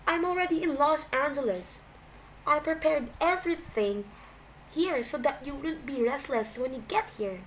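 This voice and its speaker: A woman's voice: high-pitched, moderate pace, pitched above the performer's own voice, close to an everyday voice. A woman voicing an exasperated young man who snaps indignantly at his boyfriend in an amateur fan fiction audiobook. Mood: angry, hurt. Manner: indignant, pressing, half-yelling. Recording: noisy amateur home recording.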